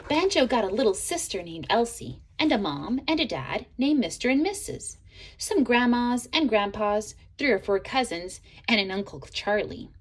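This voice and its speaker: A woman reading a children's picture book aloud in an expressive way, as a teacher would to young listeners. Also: a little high, breathy edge. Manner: expressive, pauses to ask listeners questions. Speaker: storybook reader, teacher.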